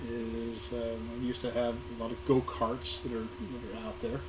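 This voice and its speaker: A middle-aged or older American man from Southern California with a hoarse voice, giving an informal talk about his career in a halting way, repeating words and hesitating often. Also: mid-range voice. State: hoarse, getting over an illness. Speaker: guest speaker, museum programming manager. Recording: noisy live recording with room ambience.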